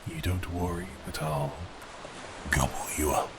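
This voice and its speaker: A colossal cursed sea beast as acted by a man speaks in a deep, low register. He is grandly melancholy and grumbles with theatrical exasperation, an ASMR roleplay figure set against distinct background ambience. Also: very animated, unhurried, gravelly, background noise, full character voice. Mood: amused, curious.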